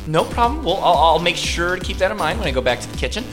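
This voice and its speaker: A woman playing an eager, flustered bar server in improvised comedy radio theater, halting and over-polite. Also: fairly low pitch, sing-song, over music.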